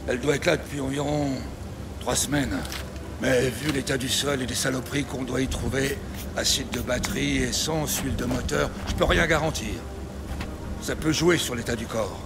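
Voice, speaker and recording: An actor playing a blunt police medical examiner, unhurried, dry and matter-of-fact, in an audio drama. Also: male voice, mid-range voice, fairly even intonation, background noise, natural voice. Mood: dry, clinical. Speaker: forensic doctor. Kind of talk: French audio drama acting.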